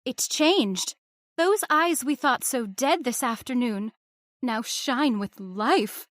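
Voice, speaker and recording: A woman's voice: on the high side, lively intonation, takes it slowly, a bit bright, no room sound, clean studio sound. An actress playing a frightened young woman, a daughter, who talks in a breathless, alarmed way in an old-time radio horror play. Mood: uneasy.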